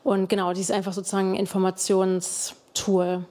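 A woman's voice: mid-range voice, level tone, moderate pace, mellow. A young woman from Germany, a guest in an interview, explaining at length with many fillers and restarts. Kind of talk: conversational interview.

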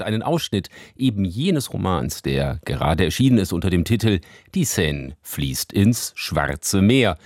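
A man's voice: deep voice, no echo, clean studio sound. A man hosting a radio book program, formally introducing the week's book. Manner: formal, welcoming presentation. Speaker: radio host.

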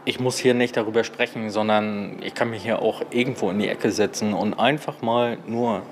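A man's voice: on the low side, fairly even intonation, normal speed, crowd noise. A man, giving informal interview answers as a social worker. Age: 40s. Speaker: social worker and café organiser. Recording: clear ambient background noise.

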